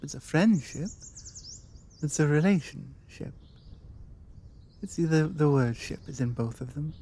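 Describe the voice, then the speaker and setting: A woman with a British accent playing a forward, bookish, flirtatious vampire in an intimate ASMR roleplay, musing in a soft, wordy, playful way with hesitant pauses. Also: deep voice. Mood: playful, earnest. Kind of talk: ASMR audio roleplay, one-sided intimate conversation.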